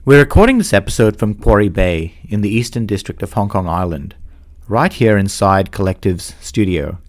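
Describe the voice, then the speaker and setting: A man with a somewhat low voice hosts a podcast. He speaks in a measured, formal way, as if presenting a prepared introduction before putting questions to someone. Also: lively intonation. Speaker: podcast host. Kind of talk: scripted introduction and interview.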